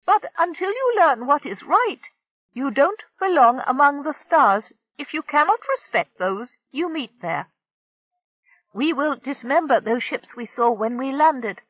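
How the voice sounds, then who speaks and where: Woman doing a stern, high alien captain. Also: lively intonation, a little slow, a bit bright, no echo. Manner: severe, emphatic. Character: stern alien Criddy captain, female, unbending. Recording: dull lo-fi dry recording.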